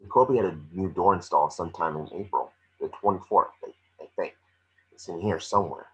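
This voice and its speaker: A man playing a gruff, weary, impatient FBI boss with a deep husky voice over a video call. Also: quick pace, highs cut off. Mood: troubled, probing. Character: Jack Crawford, impatient, weary male FBI chief. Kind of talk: table-read acting.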